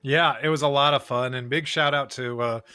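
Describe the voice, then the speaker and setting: A man's voice: medium pitch, steady tone, fairly quick, sharp tone, clean tone, dry recording, soft background music. An American man co-hosting a church podcast, chatting casually and reading scripture. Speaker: podcast co-host and pastor.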